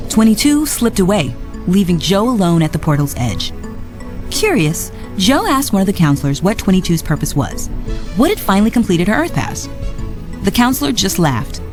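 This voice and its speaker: A woman narrating a read-along storybook in an expressive, scripted storytelling style. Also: mid-range voice. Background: music.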